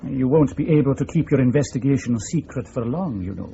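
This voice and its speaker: A dull-sounding radio drama recording with some background noise. a middle-aged Scottish intelligence boss with a mellow, mid-pitched voice. He is blunt and dryly sardonic, making his points sharply. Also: expressive, brisk, vocal fry. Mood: dry, guarded. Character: blunt, wry Scottish male intelligence section leader.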